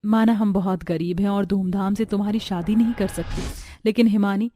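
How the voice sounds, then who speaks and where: A woman's voice: medium pitch, steady tone, moderate pace, mellow, dry recording, lightly acted. A woman voicing a poor, devoted, anxious sister-in-law in an earnest, plaintive, tender way for a story. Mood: tender farewell.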